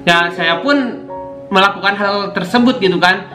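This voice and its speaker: A man's voice: high-pitched, unhurried. A young man casually chatting to camera about his motorbike vlogging gear, full of slangy fillers. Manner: slangy, keeps saying "cuy", many fillers. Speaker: motovlogger YouTuber. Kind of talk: casual unboxing chat to camera. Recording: echoey room with guitar music.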